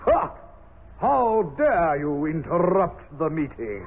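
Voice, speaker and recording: An actor playing a pompous, blustering, easily flustered knife knight in a 1940s radio play. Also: male voice, on the high side, sing-song, slow pace, creaky, phone-quality sound, exaggerated voice. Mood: indignant. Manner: sputtering, stammering, pompous. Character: blustering, indignant, flustered knight of talking knives. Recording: thin, noisy 1940s radio recording.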